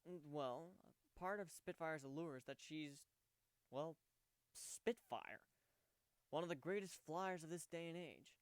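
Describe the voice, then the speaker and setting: A man's voice: on the high side, slow pace, clear, resonant tone, no echo, voice pushed up. A man voicing an earnest, bashful pegasus stallion, hesitant and sincere, in a dramatic fan fiction reading. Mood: sheepish. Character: earnest, bashful male pegasus pony, devoted fiancé.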